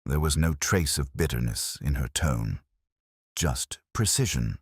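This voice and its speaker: A man's voice: a bass voice, animated, unhurried, breathy edge, no echo, clean studio sound. A man reading a scripted story as an audiobook narrator.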